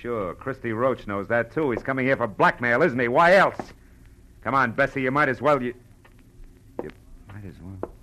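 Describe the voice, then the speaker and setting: An American actor playing a blunt, shrewd, world-weary private detective in a clipped, wry 1940s radio style. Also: male voice, medium pitch, lively intonation, moderate pace, sharp tone. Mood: accusing, pressing. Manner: clipped, tough, wry delivery. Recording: vintage radio recording with faint music.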